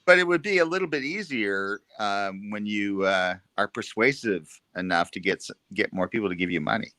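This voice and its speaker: A man's voice: lowish voice, lively intonation, normal speed, bright, forceful tone. A man chatting casually, teasing and playful. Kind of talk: casual chat.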